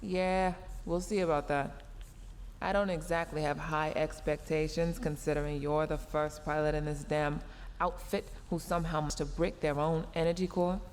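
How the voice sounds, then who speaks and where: A woman's voice: low-pitched, steady tone, moderate pace, in a large hall, a little hiss or hum, close to an everyday voice. A woman voicing a tsundere mech engineer in a sci-fi audio roleplay, sarcastic and exasperated, scolding while secretly caring. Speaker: roleplay voice performer.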